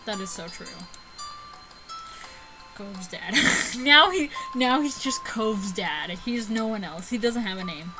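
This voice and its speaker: A woman's voice: medium pitch, expressive, slow pace, rough recording. A woman giving casual, sweary let's play commentary and reading a visual novel aloud, giggly and stumbling over the text. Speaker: gaming YouTuber. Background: music behind the voice.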